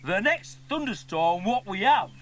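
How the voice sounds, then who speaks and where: A man's voice: high voice, very animated, a little slow, fairly bright tone, a little hiss or hum, pitched above the performer's own voice, heavily acted. A man voicing a clumsy, cheeky odd-job helper in a children's cartoon, comic and colloquial. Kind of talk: children's cartoon character acting.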